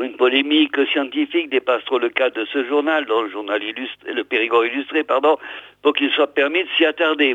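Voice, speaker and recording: Hoarse male radio host, tinny sound. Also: mid-range voice, dry recording. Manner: hesitant, many fillers and restarts. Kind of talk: radio talk reading old press articles.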